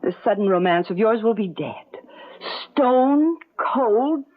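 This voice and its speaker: A woman's voice: on the high side, animated, slow pace. An actress playing an earnest, insistent woman in a 1970s radio suspense drama. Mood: pleading, insistent. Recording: tinny narrow-band old radio broadcast.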